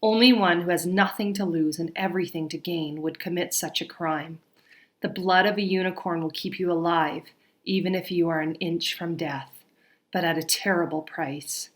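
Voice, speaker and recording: A woman voicing a young, solemn and protective female centaur who speaks in a grave, measured way in a storybook reading. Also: on the low side, close to an everyday voice.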